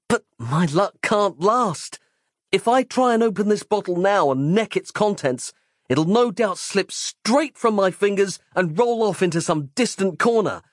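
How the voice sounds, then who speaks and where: A man's voice: high-pitched, no echo, studio quality, voice pushed up. A man voicing a battered, earnest doctor who gasps out wordy, melodramatic lines in a horror audiobook. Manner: gasping, earnest.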